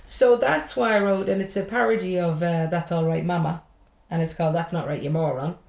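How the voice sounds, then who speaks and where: A woman's voice: lowish voice, fairly quick, vocal fry, a little mellow, reverberant. An Irish woman who is a stand-up comedian, chatting casually on a podcast, swearing freely and rambling from joke to joke. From Ireland.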